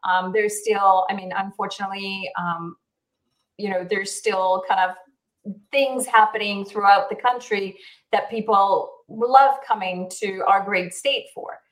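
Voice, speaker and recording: A woman's voice: medium pitch, fairly even intonation, unhurried, poor audio. Guest realtor, woman in her forties, light South African accent, chatty and easygoing, laughs often. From Israel. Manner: chatty, laughs easily, many fillers. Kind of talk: casual interview answers.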